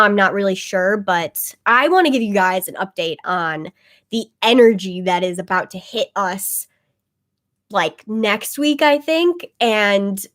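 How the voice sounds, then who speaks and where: A woman chatting casually on a webcam livestream, rambling with lots of "like" fillers and a slightly phlegmy voice. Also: mid-range voice. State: a bit phlegmy, congested. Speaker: tarot reader livestreaming to viewers.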